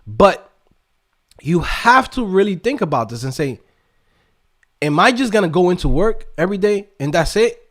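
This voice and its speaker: High-pitched, animated man in a motivational rant. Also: normal speed, long pauses. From Dominican Republic. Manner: emphatic, streetwise, slangy, peppered with tag questions. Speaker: YouTube host and developer coach. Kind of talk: casual motivational rant to viewers.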